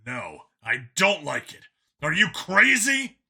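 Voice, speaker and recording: Man performing gruff male cop, stubborn, dry, deliberate, sharp forceful tone, audiobook dialogue. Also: on the high side, sing-song, voice pushed up, heavily acted. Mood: incredulous.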